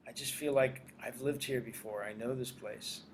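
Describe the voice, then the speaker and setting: An older man raised in Australia, a screenwriter and producer chatting casually as an interview guest, animated and repeating his phrases. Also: medium pitch, moderate pace, a little hiss or hum.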